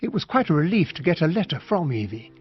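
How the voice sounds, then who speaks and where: With music behind and a slightly dull sound, a courteous British army officer in a radio mystery. His genteel voice sits fairly high and moves expressively. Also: sing-song, fast. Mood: uneasy. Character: courteous, observant army officer narrating a country-house mystery. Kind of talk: period radio drama narration and dialogue.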